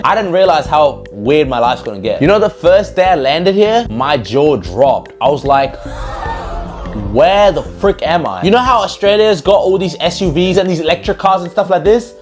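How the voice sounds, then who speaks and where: A man's voice: normal speed, faint music behind. A young man from Pakistan telling his own life story as a vlogger in a casual, jokey, slangy way. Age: young adult. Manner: animated, jokey, slangy, with swings in pitch.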